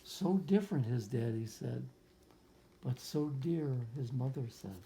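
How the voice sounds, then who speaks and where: This is a soft-toned, mellow voice reading a picture book aloud to young children. The delivery is slow and gentle, pausing for simple explanations. Musing side remarks and questions to the listener are woven into the storytelling. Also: mid-range voice, animated, slight background noise, rough recording. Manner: musing asides and rhetorical questions.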